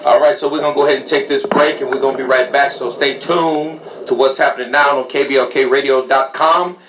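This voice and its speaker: A man's voice: medium pitch, fairly bright tone. A man hosts a radio talk show, announcing breaks and introducing guests in an upbeat way. His voice rings in an echoey room, with a faint hiss underneath. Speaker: radio host.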